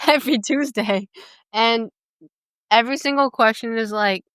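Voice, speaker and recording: Sex: woman. Origin: United States. Role: podcast host. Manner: rambling, giggly, full of fillers. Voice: smooth and clear, mid pitch. Room: dry. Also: measured pace, a bit bright, dry recording. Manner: rambling, giggly, heavy use of "like". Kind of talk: casual chat.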